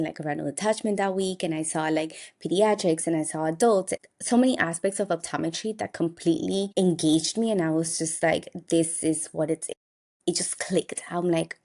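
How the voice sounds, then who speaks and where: A young woman from Colombia, an optometrist telling her story in a casual interview and laughing now and then. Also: on the low side. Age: young adult. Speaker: optometrist guest.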